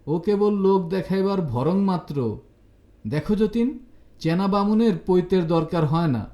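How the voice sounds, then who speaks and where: A man's voice: high voice, dark tone, echoey room, voice pushed up, close to an everyday voice. A man voicing a cheerful, teasing young woman who banters and mock-scolds her cousin in an audio story. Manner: bantering, mock-scolding, rapid-fire reproaches.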